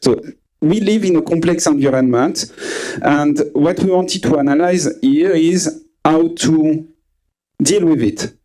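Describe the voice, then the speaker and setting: A man in his forties from France with a French accent, giving a conference talk to a live audience, pausing often with fillers and restarts. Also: a little high, mellow, rough recording. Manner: hesitant, many uh fillers and restarts. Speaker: conference speaker.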